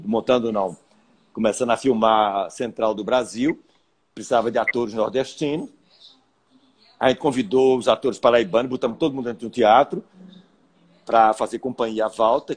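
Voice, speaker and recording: Husky older man with Paraíba accent. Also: medium pitch, darkish tone. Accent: Northeastern Brazilian (Paraíba). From Paraíba, Brazil. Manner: reflective, unhurried storytelling. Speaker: guest actor. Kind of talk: storytelling interview answer.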